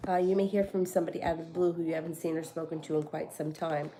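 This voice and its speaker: A woman in her thirties with a slightly low voice, stuffy and congested from a cold. She reads tarot cards in a loose, rambling, chatty way, full of fillers and false starts. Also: fairly even intonation, fast, mellow. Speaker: tarot reader. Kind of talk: casual live-stream tarot reading. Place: home.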